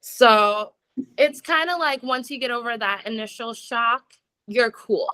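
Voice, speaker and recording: Bright-voiced chatty young American woman. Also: fairly high pitch, a little slow, smooth, rough recording. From United States. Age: young adult. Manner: chatty, lots of "like" fillers, laughs while talking. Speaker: interview guest. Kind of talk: casual conversation.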